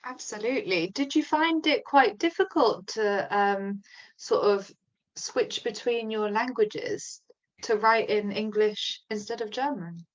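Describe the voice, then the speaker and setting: A woman's voice: medium pitch, measured pace, lo-fi sound. A woman hosting an interview, asking questions in an enthusiastic, encouraging way. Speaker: interviewer host.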